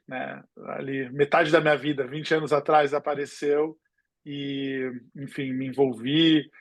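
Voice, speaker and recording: Man, forties, Brazilian accent, very even intonation, dry close sound, informal co-host. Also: mid-range voice, no room sound. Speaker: webinar co-host, futures researcher. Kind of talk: informal conversational presentation.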